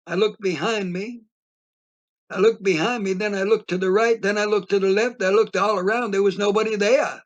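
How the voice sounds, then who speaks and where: A man playing a rambling, easily spooked beachgoer who tells his tale in dramatic, drawn-out audio play narration. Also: sits high, steady tone, muffled recording, close to an everyday voice. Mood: chatty, puzzled. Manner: theatrical, drawn-out emphasis.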